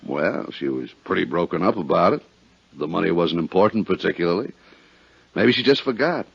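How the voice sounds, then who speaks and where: An actor playing a hearty, plain-spoken insurance adjuster, matter-of-fact and conversational, in a 1950s radio drama. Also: male voice, sits low, animated, fairly quick, vocal fry, husky, full character voice. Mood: matter-of-fact, insistent. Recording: dull 1950s radio recording.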